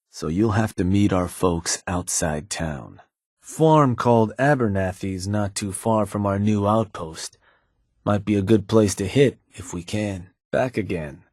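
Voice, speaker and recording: Shank a calm scheming raider. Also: male voice, fairly low pitch, no room sound, studio quality. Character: shrewd male raider gang lieutenant, calm. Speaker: game character. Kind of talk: game voice lines.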